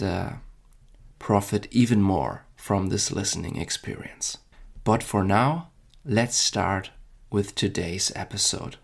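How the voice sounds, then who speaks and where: A man from Germany hosting a podcast, reading a lesson for learners in slow, deliberate, clearly spaced phrases. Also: lowish voice. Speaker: podcast host teaching German.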